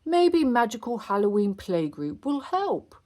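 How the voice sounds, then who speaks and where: A woman voicing a gently teasing, reassuring father pig in a children's storybook, in a playful manner. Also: a little high, sing-song, measured pace, voice pushed up.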